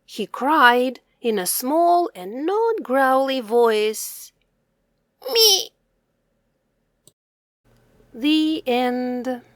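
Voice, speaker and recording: A woman reading a children's story aloud in a slow, deliberate, lively voice. Her pitch sits slightly high and swings widely. The recording is close and dry. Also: no room sound.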